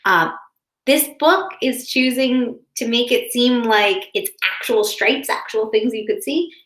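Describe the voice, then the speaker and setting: A woman's voice: medium pitch, a bit bright. A woman teacher reading and explaining a picture book to young children in simple, careful language. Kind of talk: children's read-aloud with explanatory asides. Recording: roomy, echoing indoor sound.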